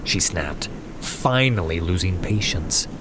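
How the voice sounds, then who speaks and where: A man's voice: deep voice, expressive, slow, background noise. A man narrating a fantasy story as a scripted, dramatic audio drama. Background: music.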